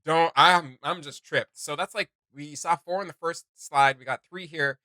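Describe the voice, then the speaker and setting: A man hosting a reaction video, chatting casually in an excitable, slangy way. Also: fairly high pitch, normal speed, no room sound. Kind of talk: casual chat, reaction commentary. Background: music.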